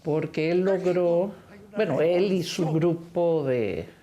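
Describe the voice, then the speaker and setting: Low-voiced older woman from Costa Rica. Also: takes it slowly, warm tone. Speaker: film director. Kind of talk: interview about her film.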